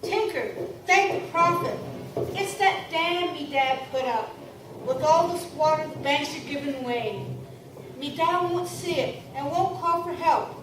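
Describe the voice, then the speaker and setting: A woman's voice: high voice, measured pace. A woman playing a worried young village woman. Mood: anxious. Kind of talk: live radio play acting. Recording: echoey hall from far off, background noise.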